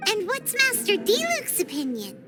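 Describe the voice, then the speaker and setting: A little anime game fairy, squeaky and very high. The delivery is excitable and cheeky, with big leaps in pitch. Game music plays behind the voice. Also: female voice, normal speed, heavily acted. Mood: excited, cheeky. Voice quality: squeaky, childlike. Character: Paimon, tiny floating fairy companion, childlike, excitable, cheeky. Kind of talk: anime video game voice acting.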